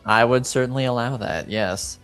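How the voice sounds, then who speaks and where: A man improvising narration as game master of a tabletop game, halting often as he searches for words. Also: mid-range voice, fairly bright tone, clean tone, faint music behind.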